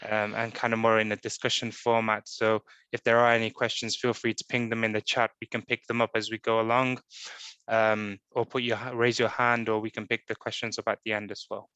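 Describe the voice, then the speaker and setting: A man chairs an online event over a video call, introducing the speakers with plenty of "um" and restarts. His voice is smooth and resonant but stays flat in pitch, and the room sounds dry. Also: a little fast, no echo. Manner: hesitant, many fillers and repeats.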